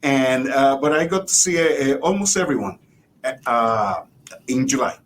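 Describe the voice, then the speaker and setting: A man's voice: expressive, moderate pace. A middle-aged man with a Puerto Rican accent chatting casually as an interview guest, with hesitant repeats. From Puerto Rico. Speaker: guest, biology professor. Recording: rumbly background noise.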